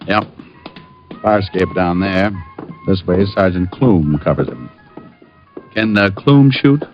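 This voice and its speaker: An actor playing a wry, unflappable private detective in 1940s radio drama style. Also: male voice, sits low, takes it slowly. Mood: wry, cool. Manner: wry, flippant, hard-boiled. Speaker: lead actor and narrator. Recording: dull old radio recording with music.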